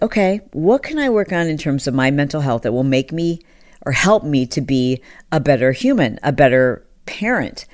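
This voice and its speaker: A woman co-hosting a podcast, chatting candidly and openly with many fillers, her voice deepened by a partly paralysed vocal cord. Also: darkish tone. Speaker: podcast co-host. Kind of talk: casual chat.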